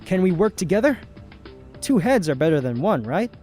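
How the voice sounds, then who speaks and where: Woman voicing an animated low-voiced boyish rookie. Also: normal speed, faint music behind. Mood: eager, sheepish. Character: eager, slightly clueless young male rookie detective. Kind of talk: scripted audio drama dialogue.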